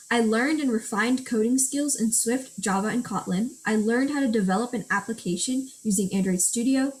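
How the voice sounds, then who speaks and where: A woman's voice: a little high, a little mellow. A teenage girl whose first language is Gujarati, reading a prepared school project presentation and walking through screenshots step by step. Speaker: student presenter.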